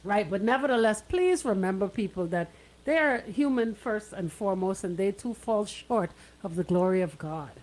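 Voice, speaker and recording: A woman's voice: mid-range voice, lively intonation, moderate pace, a little mellow, rough recording. A Bahamian woman hosting casual radio talk, emphatic, repeating her points and checking in with "right?". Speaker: radio host.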